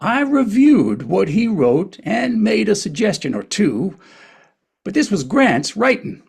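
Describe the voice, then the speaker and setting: A man's voice: high voice, very animated, takes it slowly, gravelly, breathy edge, full character voice. A man playing a wry, reflective older male writer and narrator in a table reading of a historical play, with a hesitant storytelling manner.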